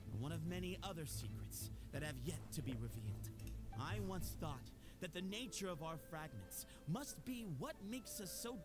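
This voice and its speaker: A man voicing an earnest, proud young heir in a video game, speaking in a solemn, measured, declamatory way. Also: a little high. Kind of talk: dramatic video game voice acting.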